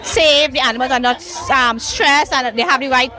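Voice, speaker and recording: A woman's voice: sits high, normal speed, pushed and bright, faint music behind. A woman game warden explaining her patrol duties in a matter-of-fact way at an outdoor festival booth. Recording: noisy outdoor crowd.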